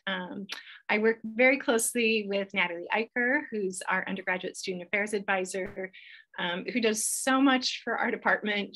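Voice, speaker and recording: A middle-aged American woman with a slightly gravelly voice, a professor giving an informal welcome presentation to an online audience. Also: mid-range voice, a bit bright. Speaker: professor hosting a welcome webinar. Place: online webinar.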